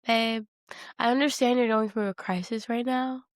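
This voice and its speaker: A woman's voice: on the high side, fairly even intonation, normal speed, no echo, clean studio sound. A woman co-hosting a podcast in casual chat, conversational with emphatic, slangy reactions. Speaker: podcast co-host. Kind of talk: casual chat about a TV show.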